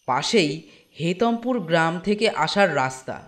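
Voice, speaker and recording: A man narrating a scripted horror story as storyteller, with deliberate phrasing. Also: on the high side, expressive, fairly bright tone. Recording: music bed behind voice.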